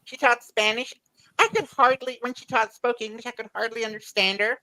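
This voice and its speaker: A woman's voice: fairly high pitch, fairly even intonation, fairly quick, sharp tone, no echo, poor audio. A woman from Mississippi with a southern Mississippi accent, chatting casually as a podcast co-host. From Mississippi, United States. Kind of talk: casual chat.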